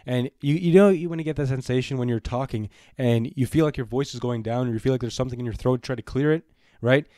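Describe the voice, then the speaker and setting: A young man vlogging wrestling news casually, rambling with fillers and clearing his throat as his voice fades. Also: medium pitch, quick pace, mellow, no room sound, studio quality. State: losing his voice.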